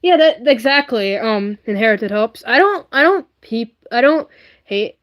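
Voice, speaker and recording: Woman livestream host, slightly high voice, casual and rambling, earnest, repeats points for emphasis. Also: animated, unhurried.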